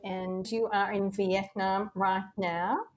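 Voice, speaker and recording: A woman hosting an interview podcast, reading a scripted introduction and asking questions in a clear, measured way. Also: medium pitch, little pitch movement. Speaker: podcast host.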